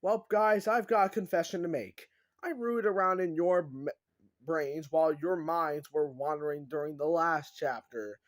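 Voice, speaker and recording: A man's voice: fairly high pitch, normal speed, no echo, natural voice. A man voicing a brash chaos demon from a book, theatrical and taunting, like a mocking salesman. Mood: smug, confiding. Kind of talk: animated audiobook character reading.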